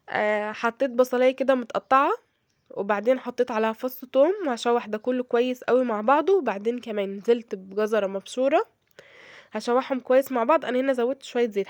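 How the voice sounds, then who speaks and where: Hoarse, fast-talking Egyptian woman cook. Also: a little high, dry recording, clean studio sound. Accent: Egyptian Arabic. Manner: chatty, addresses viewers directly, frequent fillers and check-ins. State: hoarse from a cold. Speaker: cooking vlogger. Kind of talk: casual cooking tutorial.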